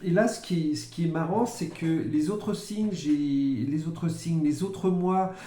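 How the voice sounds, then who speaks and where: A man giving a casual monthly tarot-style forecast, talking slowly with many fillers and repeated words. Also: on the high side, dark tone. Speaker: psychic card reader. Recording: echoey room, faint noise.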